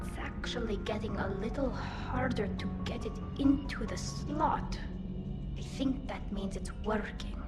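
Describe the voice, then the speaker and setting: An actress voicing a tipsy young alien woman in a video game, halting and rambling, sardonic and sentimental. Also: fairly high pitch, natural voice. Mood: tipsy, rueful. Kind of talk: video game dialogue acting. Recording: roomy, with loud music behind.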